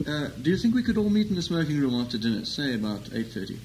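A man's voice: a little high, very animated, fast, a little mellow. A man playing an insistent, genteel host in a melodrama parody, stagey and emphatic, on a noisy recording. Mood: stern.

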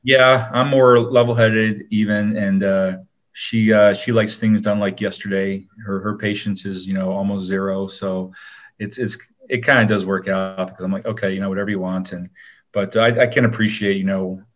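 A man with a low-key, laid-back manner, chatting casually as an interview guest. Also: little pitch movement, moderate pace, dull audio. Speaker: guest, actor.

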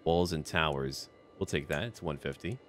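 A man's voice: a bass voice, moderate pace, slight background noise. A man giving roleplay commentary over a strategy game, talking to himself and the characters in short, playfully menacing sentences. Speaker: gaming video creator playing a strategy game.

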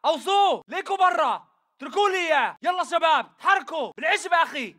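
An aggressive militant in a shooter game, barking urgent battle callouts with a Levantine Arabic accent. His voice is strained very high and rattles along fast, with a bright, forceful edge. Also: lively intonation, full character voice. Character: hostile militant fighter barking combat callouts. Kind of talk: shouted video game battle chatter.

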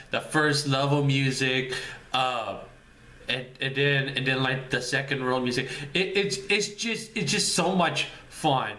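Bright-voiced man rambling to his webcam. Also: medium pitch. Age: 30s. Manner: rambling, repeats words, upbeat and goofy. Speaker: channel host. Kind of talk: casual vlog talk to camera. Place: small room indoors. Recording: echoey room, faint room noise.

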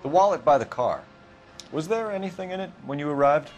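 A man's voice: fairly high pitch, lively intonation, fairly quick, soft background music, close to an everyday voice. A man acting as a composed, firm 1940s police detective in a video game, with a formal, clipped way of questioning. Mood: firm, probing.

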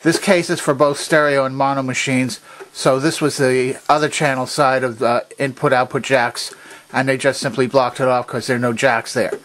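A man with a medium-pitched voice presents a hands-on tutorial. He explains each part methodically and precisely, like a demonstrator teaching someone to operate equipment. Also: fairly even intonation, normal speed, a bit bright. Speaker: demonstrator of a vintage machine. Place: indoor workshop at a workbench.

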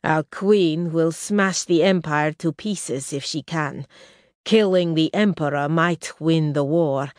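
A woman voicing a scheming, blunt foreign prince in an audiobook, speaking slowly and calculatingly. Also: deep voice, no room sound, clean studio sound. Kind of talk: audiobook character reading.

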